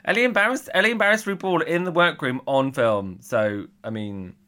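A man co-hosting a casual chat, animated and giggly, often restarting sentences and breaking into high laughs. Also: a little fast. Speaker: podcast co-host.

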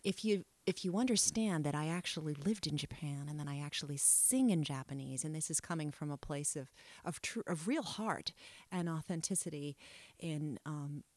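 A woman's voice: low-pitched, moderate pace, a little mellow, dry recording. A middle-aged woman telling her life story in an interview, reflective and frank, often trailing off and restarting her sentences. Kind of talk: candid personal storytelling.

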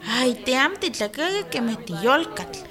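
Chatty village lady, high voice, swoops all over, radio drama. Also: sing-song, moderate pace, a crowd in the background. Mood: lively. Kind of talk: scripted radio drama in Nahuatl.

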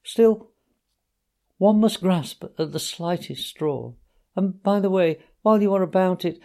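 Man voicing a toad, storybook, self-pitying, feeble, languid, high swooping voice. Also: vocal fry, mellow. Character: vain self-pitying male toad feigning illness.

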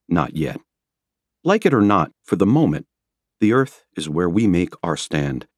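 A man reading nonfiction as a scripted audiobook narration, with measured, rhetorical and emphatic phrasing. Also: on the low side, no echo, clean studio sound. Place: close, dry studio recording.

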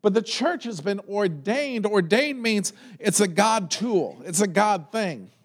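High-voiced man preaching a sermon. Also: animated, unhurried. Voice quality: loud voice. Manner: repeats words when building a point, conversational preaching. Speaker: pastor.

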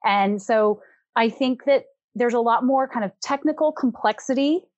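A woman speaking as a podcast guest, a consultant who talks through her ideas in a reflective, measured way. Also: on the high side, dry recording, dull audio. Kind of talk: casual conversational discussion.

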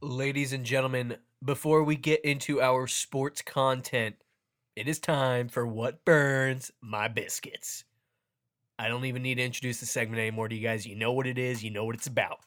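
A young man hosts a laid-back sports podcast, upbeat and joking. His voice sounds stuffy and keeps cracking from allergies. He talks at an ordinary middle pitch. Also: fairly even intonation, normal speed, a bit bright. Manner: upbeat, joking, playfully hammy intros. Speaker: podcast host. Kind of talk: casual podcast chat.

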